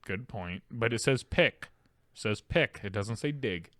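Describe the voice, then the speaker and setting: A young man in loose podcast banter, joking, swearing, and acting out what people said. His voice sits fairly high and bright and jumps around a lot in pitch. The sound is dry and close, with almost no room. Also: animated, measured pace, dry recording. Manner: loose and joking, swears, acts out quoted lines. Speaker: podcast co-host. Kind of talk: casual chat.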